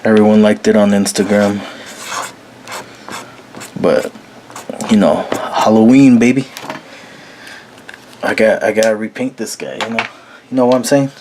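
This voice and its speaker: Slow, low-voiced man rambling casually. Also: steady tone, dark tone, a little hiss or hum. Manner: slow, rambling, frequent fillers, laid-back. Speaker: painting tutorial host. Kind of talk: casual narration while painting. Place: small room at a painting table.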